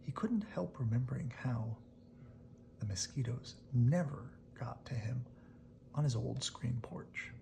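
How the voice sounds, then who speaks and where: Very creaky, slow, hushed bedtime storyteller. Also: medium pitch, animated, a little hiss or hum. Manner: keeping quiet, often dropping to a whisper. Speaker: storyteller reading a picture book aloud. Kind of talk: hushed bedtime read-aloud narration.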